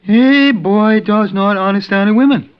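An actor playing a meek, earnest hotel servant who speaks halting, deferential broken English in an old radio drama. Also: male voice, sits high, clean tone. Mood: troubled, earnest. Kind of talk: 1950s radio acting. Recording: muffled old radio recording.

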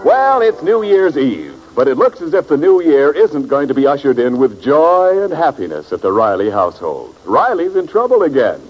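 A man's voice: a little high, sing-song, normal speed, hardly any pauses, breathy edge, soft background music. A man announcing and narrating a scripted 1940s radio comedy show in period broadcast style. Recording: dull 1940s radio recording.

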